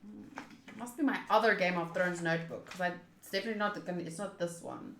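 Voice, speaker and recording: A woman's voice: on the low side, fairly quick, fairly bright tone, echoey room, slight background noise. A woman streaming a visual novel playthrough, talking informally and thinking aloud or reading the game's text. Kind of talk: casual livestream chat and reading game text aloud.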